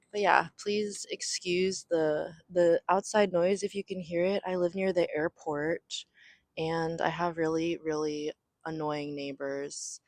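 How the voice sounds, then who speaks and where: A young woman from Southern California talks through her life story in a loose, rambling way, filling gaps with "like" and "um". Her voice sits low, and the recording is dry and close, with little room sound. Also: no echo. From raised in Southern California. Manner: rambling, filler-heavy, frequent "like" and "um". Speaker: podcast host. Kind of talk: casual solo chat. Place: home near an airport.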